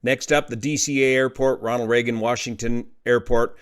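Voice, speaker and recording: A man's voice: mid-range voice, steady tone, moderate pace, clean tone. A man with a mid-range voice delivers explanatory aviation commentary as a host. He talks emphatically and lays out each point step by step.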